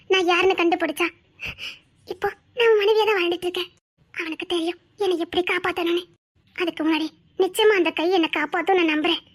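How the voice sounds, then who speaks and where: A woman acting as a devoted young wife in a drama, speaking quietly and wistfully. Her voice sits very high. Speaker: wife narrating her story. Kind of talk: soft dramatic monologue.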